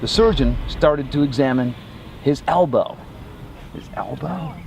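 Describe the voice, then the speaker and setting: A man working as a tour guide, telling a dramatic history story to tourists in deliberate, short phrases. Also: mid-range voice, a little mellow. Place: outdoors on a battlefield. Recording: clear outdoor ambient noise.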